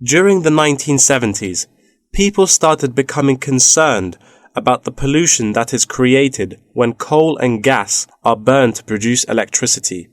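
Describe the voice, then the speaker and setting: A man's voice: medium pitch, animated, normal speed, dry recording, clean studio sound, lightly acted. A young man playing an earnest university student in a scripted dialogue, eagerly explaining his research. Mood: earnest, explanatory. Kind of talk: scripted listening-test dialogue.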